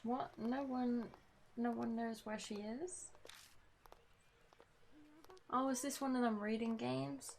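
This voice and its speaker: A woman's voice: fairly high pitch, fairly even intonation, takes it slowly, creaky, pauses often, a little hiss or hum. A woman game streamer reading a visual novel aloud, breaking off for casual commentary. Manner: casual reactions between read-out lines. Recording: music behind the voice. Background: game music.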